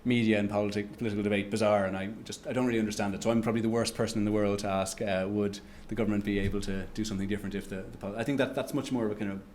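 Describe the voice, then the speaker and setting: A man's voice: fairly low pitch, fairly even intonation, a little mellow, slight background noise. A man with an Irish accent answering audience questions at an economics lecture, stumbling through restarts and fillers. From Ireland. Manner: halting, many restarts and fillers. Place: lecture room. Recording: echoey lecture room.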